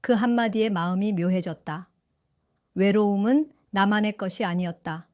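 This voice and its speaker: An older woman narrating her own story in an audio drama, calm and reflective. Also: mid-range voice, normal speed, dry recording, clean studio sound. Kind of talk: scripted first-person audio drama narration.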